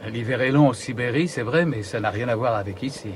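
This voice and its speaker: An actor playing a nostalgic, idealistic Siberian-born polar researcher in a radio drama, telling things in an earnest, reflective way. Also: male voice, mid-range voice, fast, slight background noise, lightly acted. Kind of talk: naturalistic French radio drama acting.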